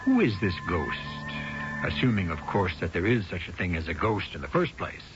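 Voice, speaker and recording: Male radio mystery host, low voice, wry, formal, measured storytelling, dull vintage recording. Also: sing-song, soft background music. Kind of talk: scripted narration, theatrical radio.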